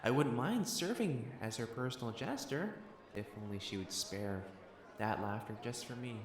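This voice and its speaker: Male actor as haughty lovestruck young aristocrat, mid-pitched, lively swooping intonation, stammering formal speech, romantic audio roleplay. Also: normal speed, lightly acted. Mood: longing. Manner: haughty formal diction, stammering when flustered. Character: proud, flustered, possessive young noble matchmaker, secretly smitten. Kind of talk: acted romantic audio drama monologue to the listener.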